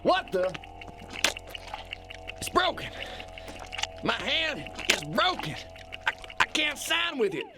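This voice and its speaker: A very high, lively voice for a shameless, boastful kingpin in a satirical audio drama. He blusters in folksy phrases and stammers as he insists on his own importance. Music plays behind him. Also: takes it slowly, long pauses, heavily acted. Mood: panicked, indignant. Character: blustering, selfish, shameless male boss known as the Man. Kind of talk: satirical audio play acting.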